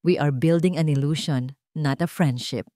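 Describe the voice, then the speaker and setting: A Filipino woman voicing a poised, guarded, mysterious hired companion in a romance audio drama, calm, measured and firm. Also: sits low, dry recording, studio quality, pitched below the performer's own voice, close to an everyday voice. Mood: firm, businesslike. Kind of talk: romance radio-drama storytelling.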